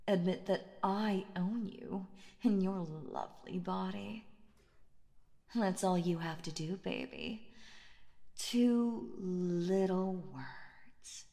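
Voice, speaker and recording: A woman playing a calm, possessive, dominant boss in an intimate ASMR roleplay, speaking in a coaxing, breathy voice that often slips into whispers. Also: medium pitch. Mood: teasing, dominant. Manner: slow, coaxing, seductively dominant. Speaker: voice actor narrating roleplay. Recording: long reverb on the voice.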